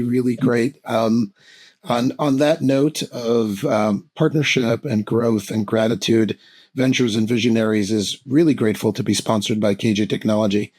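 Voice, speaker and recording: A male podcast host whose voice is raspy and husky. He talks in an enthusiastic, affirming way at a middling pitch. He sounds like a casual interviewer. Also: a little mellow.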